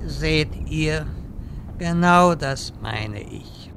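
A man playing a wise, exasperated old wizard in a fantasy parody radio play, speaking deliberately and dryly. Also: a little high, lively intonation, gravelly, fairly bright tone, slight background noise, full character voice. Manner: slow, deliberate, dry.

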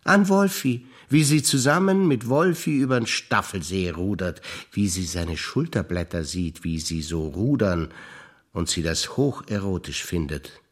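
Very deep older man, rhythmic recitation. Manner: rhythmic, incantatory listing, deliberate pauses. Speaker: stage actor. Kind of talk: staged theatre recitation.

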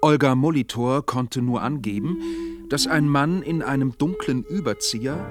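A man's voice: medium pitch, normal speed. A man narrating a radio drama in a formal, factual, scripted reading. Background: music.

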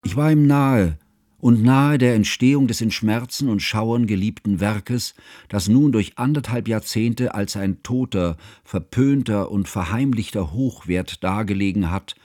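An actor as a solemn, low-voiced scholarly older man. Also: darkish tone, no room sound, clean studio sound, close to an everyday voice. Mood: mournful, reverent. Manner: solemn, ornate, measured literary delivery. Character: grave, scholarly older man narrating his composer friend's life. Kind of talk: literary radio play narration.

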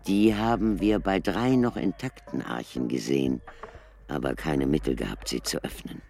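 An actor playing an earnest, cautious male researcher in a German radio play, explaining things in a measured, emphatic way. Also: very deep voice, vocal fry, a little mellow. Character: deep-voiced scholarly male ruin researcher, earnest and cautious.

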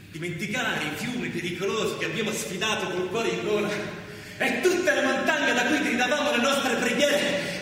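An actor playing an anguished biblical brother in a radio play, speaking intense, lyrical lines with insistent repetition. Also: male voice, very high-pitched, sharp tone, exaggerated voice. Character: anguished biblical brother, a toiling man of the land. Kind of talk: poetic theatrical radio drama. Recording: very echoey, distant, noisy hall.

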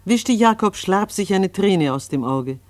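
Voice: mid-range voice, expressive, measured pace, a little mellow, no room sound. A narrator reading scripted radio drama narration in a solemn, biblical cadence.

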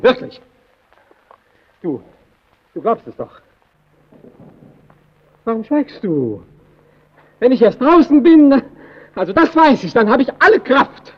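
A dull, narrow old radio recording carries a nervous man on watch duty. His high voice swings widely as he halts and repeats himself, cowed yet rebellious, in old-fashioned radio drama style. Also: creaky, husky, full character voice. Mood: feverish relief. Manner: agitated, halting, repeating himself. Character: nervous, rebellious lighthouse keeper long cowed by his domineering colleague.

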